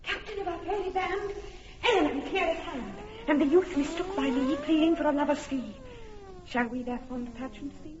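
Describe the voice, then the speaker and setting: A woman's voice: high voice, normal speed, highs cut off, background noise, lightly acted. An actress voicing a mischievous fairy sprite in a classic radio drama, gleeful and teasing in her delivery. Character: mischievous impish fairy sprite, playful and quick.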